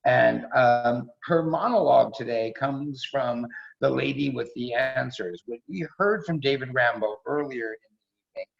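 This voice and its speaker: Gravelly man hosting over dull call audio. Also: medium pitch, moderate pace. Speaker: host introducing performers. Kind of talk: semi-formal spoken introduction. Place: indoors, remote video call. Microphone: video call microphone.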